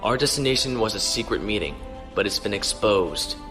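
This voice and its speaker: A man's voice: on the low side, lively intonation, normal speed, over music, natural voice. A man acting a stern, decisive young starship captain in a sci-fi audio drama. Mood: grave urgency.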